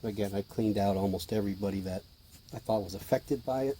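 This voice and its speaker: Hoarse, low-voiced man filming his spiders. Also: a little fast, slight background noise. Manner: chatty, offhand, drops to a hush while watching. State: hoarse, clearing his throat. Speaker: tarantula keeper filming feedings. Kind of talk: casual hobby vlog narration.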